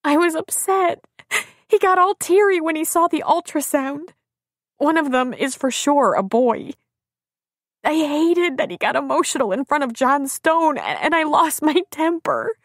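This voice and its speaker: A woman narrator performs a distraught young woman in an audiobook novel. Her voice is pitched well up, tearful and full of guilt, with a dry, close sound. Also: animated, unhurried, no echo. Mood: upset, confessing. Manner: tearful, emotional delivery. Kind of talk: audiobook character dialogue.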